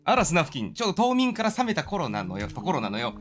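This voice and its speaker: A man voicing a gentle, motherly adult female troll from a storybook game, speaking softly and kindly in homely, polite phrases. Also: a little high, huge pitch swings, quick pace, faint music behind. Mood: kindly, wistful.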